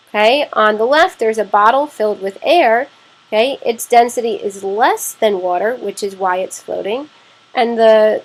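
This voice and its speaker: A woman's voice: a little high, husky, rough recording. A woman science teacher narrating a lesson step by step, explaining plainly and often checking in with "okay".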